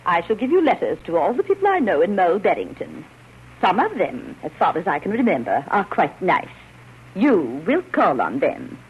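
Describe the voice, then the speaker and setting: A domineering, scolding sister in an old radio play. Her husky voice swings dramatically up and down with insistent emphasis. The recording sounds muffled. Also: lowish voice, wildly swooping pitch, moderate pace, full character voice. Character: bossy, scolding sister of a nervous man. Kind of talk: 1930s radio drama acting.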